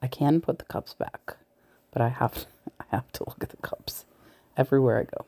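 Chatty woman thrifting, mellow voice. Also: a bass voice, moderate pace, gravelly. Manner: chatty, talks to viewers while browsing, sometimes drops to a whisper. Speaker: thrift reseller vlogger. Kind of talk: casual shop-with-me narration. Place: large thrift store, indoors. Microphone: body-worn camera mic, point of view.

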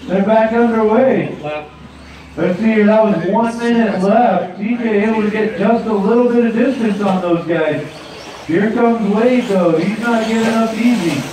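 A man's voice: high voice, a little slow, very echoey, distant mic, crowd noise, low-quality recording. A man giving live play-by-play commentary at an outdoor dirt track. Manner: steady play-by-play calling. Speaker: race announcer.

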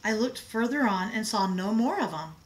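A woman's voice: a little high, animated, normal speed, lightly acted. A woman voicing a middle-aged father fondly telling an old family story, in a folksy, lilting way.

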